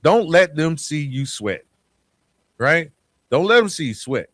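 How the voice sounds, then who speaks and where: A man's voice: a little high, very animated, measured pace, a bit bright, no room sound. A man hosting a podcast, talking conversationally and motivationally in a reflective, emphatic way, often checking in with the listener. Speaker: podcast host.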